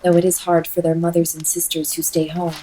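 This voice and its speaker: A woman playing a sensible, caring young governess with a husky voice in an audio play. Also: on the low side, completely flat delivery, a little fast, warm tone, natural voice, poor audio. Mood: concerned.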